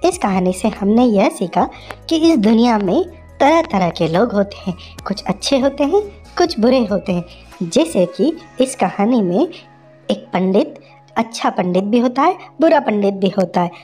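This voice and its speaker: A woman's voice: mid-range voice, animated, a little slow, mellow, soft background music. A woman narrating a children's moral story in a simple, conversational way.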